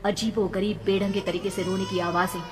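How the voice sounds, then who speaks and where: A woman's voice: medium pitch, flat delivery, fairly quick. A woman storyteller delivers scripted horror narration with drama. Her mid-range voice carries a long reverb, with faint ambient noise underneath.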